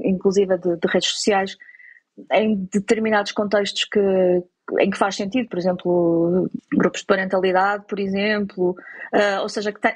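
Woman, European Portuguese accent, husky mid-pitched voice, conversational, explanatory, rephrases often, interviewed parent. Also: darkish tone, low-quality recording.

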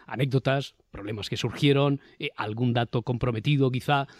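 A man's voice: medium pitch, steady tone, normal speed, no room sound. Spanish podcast host, close dry male voice. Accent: Spain. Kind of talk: presenting a panel discussion.

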